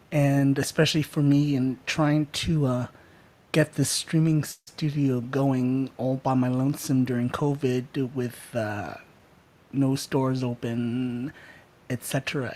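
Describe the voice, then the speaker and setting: A man with a mid-pitched voice hosts a casual livestream podcast. He speaks haltingly and informally, often searching for the next word. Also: a little mellow. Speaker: podcast host.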